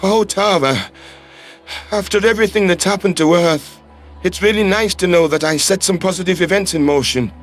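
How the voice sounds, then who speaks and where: A man's voice: high voice, normal speed, a bit bright, faint music behind. A man voicing a humble, overwhelmed human astronaut in a tearful, heartfelt way. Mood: tearful, moved.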